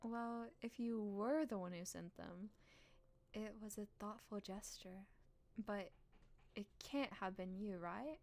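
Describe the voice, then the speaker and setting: A woman streamer, playful and expressive, reading a visual novel aloud and chatting on a live stream. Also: medium pitch, slow. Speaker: game streamer. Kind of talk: live stream chat and read-aloud narration.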